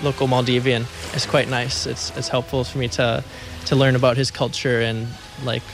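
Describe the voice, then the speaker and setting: A man's voice: medium pitch, level tone, normal speed, creaky. A man in his twenties with an American accent, from Minnesota, giving casual interview answers as a student volunteer. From Minnesota, United States. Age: 20s. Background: music under the interview.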